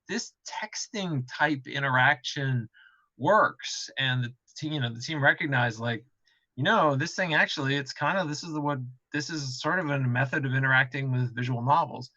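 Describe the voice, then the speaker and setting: A man with a bright, forceful voice talks through his points as a developer on a panel. His delivery is casual and explanatory, at a middling pitch. The audio is somewhat dull and band-limited. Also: normal speed. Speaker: game studio developer on a panel.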